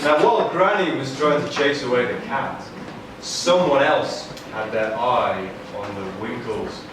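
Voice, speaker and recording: A man's voice: mid-range voice, a little slow. A man narrating a comic scripted story at a live puppet show. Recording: echoey noisy hall, recorded from far off.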